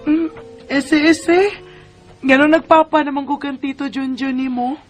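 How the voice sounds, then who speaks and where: Radio drama single mom, female, Cebuano accent: high-pitched, husky, emotional, music underneath. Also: fairly even intonation, unhurried. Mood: surprised, doubting. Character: emotional, devout single mother being courted. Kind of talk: Bisaya radio drama acting.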